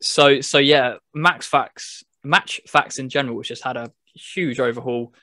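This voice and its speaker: Guy podcast host talking thru game features step by step, casual, fairly high voice, dry close sound. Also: measured pace, bright, forceful tone, no echo. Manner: explanatory, step-by-step. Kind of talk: casual chat.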